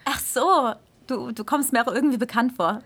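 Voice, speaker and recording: An actress playing a polite, slightly awkward young flatmate, speaking hesitantly and noncommittally in a naturalistic radio drama. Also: female voice, on the high side, moderate pace, slightly rough.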